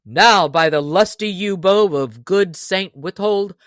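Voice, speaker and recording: A woman's voice: mid-range voice, slow pace, dry recording, clean studio sound, close to an everyday voice. A woman reading a storybook, voicing a surly, proud young male archer with blunt, old-fashioned speech. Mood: impressed.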